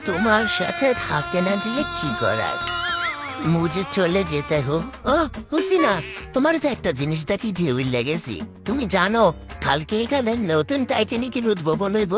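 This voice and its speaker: A woman's voice: mid-range voice, very animated, normal speed, lightly acted. A woman voicing a pleading, crafty old male beggar in a comic cartoon, coaxing and mixing in broken Hindi words. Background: music.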